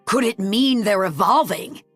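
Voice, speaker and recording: A woman playing a cool, analytical military aide in a dramatic video game, measured and matter-of-fact. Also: mid-range voice, lively intonation, slightly rough, no echo, faint music behind. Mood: cool, pensive.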